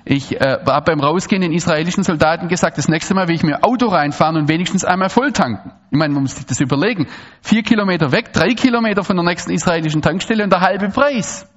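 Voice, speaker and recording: Animated man lecturing an audience. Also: sits high, fast talker, muffled recording. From Swabia, Germany. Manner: animated, digressive, frequent restarts and asides. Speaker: speaker giving a public talk.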